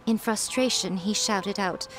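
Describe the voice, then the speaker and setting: Video game fox-spirit shrine maiden, played by a woman: poised, sly, teasing, mid-pitched, faint music underneath. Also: fairly even intonation, measured pace, close to an everyday voice, poor audio. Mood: composed explaining. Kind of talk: English video game voice acting.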